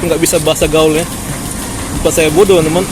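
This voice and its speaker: A man's voice: on the high side, level tone, a little fast. Chatty man with a Batak accent. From Jakarta. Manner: chatty, keeps addressing viewers as friends. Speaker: vlogger. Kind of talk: casual walking vlog. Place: busy city street outdoors. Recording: street crowd and music behind. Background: crowd and music.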